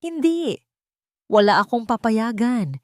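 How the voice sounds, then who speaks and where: A woman plays a humble, frightened housemaid in an audiobook drama. She pleads deferentially yet protectively, with a highly animated pitch in a dry, close recording. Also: slow pace, no room sound. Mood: desperate.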